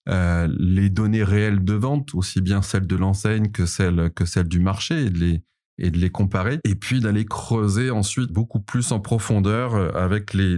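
Hesitant Parisian man, dark low voice. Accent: Parisian French. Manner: hesitant, many fillers and restarts. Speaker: podcast co-host, data specialist, interviewed guest. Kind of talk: conversational interview answer.

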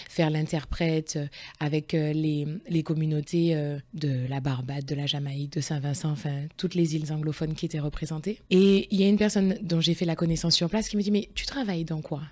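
Low-pitched woman telling her story. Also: warm tone. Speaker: interviewee. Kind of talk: personal storytelling in an interview.

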